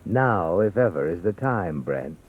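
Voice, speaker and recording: Brief: brooding suspense narrator, vintage radio drama, animated pitch, thin phone-like sound over faint music. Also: male voice, measured pace. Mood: measured suspense. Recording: tinny old radio broadcast, faint music.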